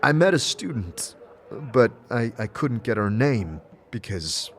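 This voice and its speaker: A man's voice: mid-range voice, big pitch swings, slow. A man voicing a scarred wanderer in a fan audio drama, polite and hesitant, often stammering.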